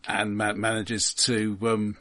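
A man co-hosting a podcast, recapping a film in a halting way with many restarts. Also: lowish voice, completely flat delivery, a bit bright. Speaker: podcast co-host. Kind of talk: casual film discussion.

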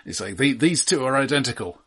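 A man with a slightly husky voice in a casual film discussion. He thinks aloud haltingly and picks at details with a dry, analytical manner, like a podcast co-host. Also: medium pitch, animated, a bit bright.

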